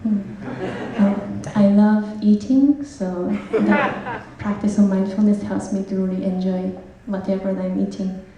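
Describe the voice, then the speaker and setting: Japanese-accented nun in an echoey hall. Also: female voice, medium pitch, fairly even intonation, unhurried, distant mic, crowd noise. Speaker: Buddhist nun. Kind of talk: self-introduction to an audience. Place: large room at an evening event.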